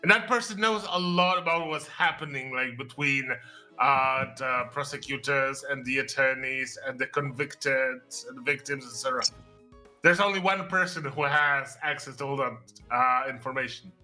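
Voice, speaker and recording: Bright-voiced man chatting on a gaming stream. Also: mid-range voice, normal speed, faint music behind, poor audio. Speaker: voice cast member on a gaming stream. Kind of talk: casual gaming chat.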